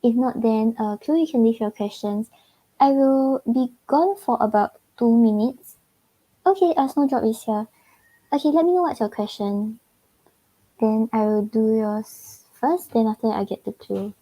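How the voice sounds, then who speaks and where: A woman's voice: on the high side, moderate pace, highs cut off. A woman from Singapore with a Singaporean English accent giving casual tarot readings on a livestream. She chats loosely, fills with "like" and giggles often. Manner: chatty, giggles often, many "like" fillers. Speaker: tarot reader.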